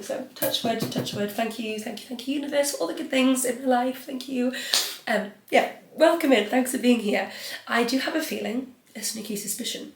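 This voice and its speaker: A woman's voice: on the high side, creaky, reverberant, slight background noise. A young woman hosting a podcast solo, chatty and rambling, sounding stuffy and sniffly from a cold. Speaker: podcast host. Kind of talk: casual solo podcast chat.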